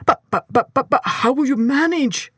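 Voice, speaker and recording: A woman's voice: high-pitched, expressive, moderate pace, fairly bright tone, husky, no room sound, studio quality, pitched above the performer's own voice, full character voice. A woman voicing a fussy, fretful male baker in an exclamatory, sputtering storybook manner. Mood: flustered.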